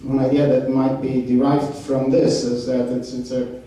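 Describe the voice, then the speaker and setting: A man speaking on a discussion panel, halting, with many fillers and restarts. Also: medium pitch, flat delivery, mellow. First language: Romanian. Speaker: panel participant. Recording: echoey hall, distant mic, faint noise.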